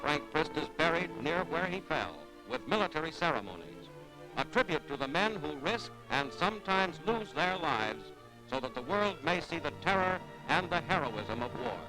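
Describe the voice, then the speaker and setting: A man's voice: on the high side, animated, normal speed, rough recording. A man with an American accent reading a scripted wartime newsreel narration in a dramatic, declamatory 1940s style. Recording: vintage 1940s newsreel soundtrack, loud music. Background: loud musical score.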